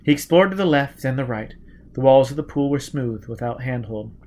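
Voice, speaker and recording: A man reading an adventure story aloud as dramatic, deliberate, intense narration. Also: mid-range voice, lively intonation, darkish tone. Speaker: audiobook narrator.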